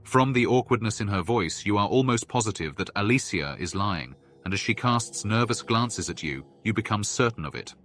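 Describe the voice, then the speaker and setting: A man narrating a fantasy game quest as a storyteller, in measured, literary sentences. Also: fairly low pitch, expressive, a bit bright. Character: male second-person storyteller of a fantasy quest.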